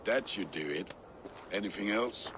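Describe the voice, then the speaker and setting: An actor voicing a boastful, lovelorn Norse blacksmith in a video game, with a melodramatic manner. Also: male voice, on the low side, lively intonation, measured pace, noisy background. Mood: hearty. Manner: theatrical, melodramatic. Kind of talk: video game character acting.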